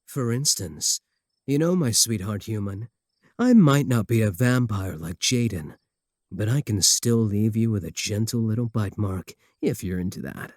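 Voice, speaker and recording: A man's voice: fairly low pitch, expressive, unhurried, a little mellow, dry recording, studio quality. A man voicing a possessive, boastful werewolf in a comedic ASMR roleplay, sardonic and taunting, doting on his sweetheart. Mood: defensive, flirtatious.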